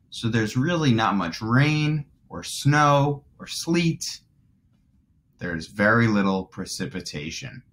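A man in his twenties, a teacher reading aloud to young children and explaining as he goes, deliberately stressing key words. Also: medium pitch. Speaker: first grade teacher.

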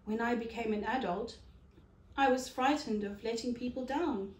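A kind father kangaroo gives steady, reassuring advice, as a woman reading aloud puts him on. He is a wise, gentle storybook parent, and his voice sounds roomy. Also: fairly high pitch, moderate pace, close to an everyday voice.

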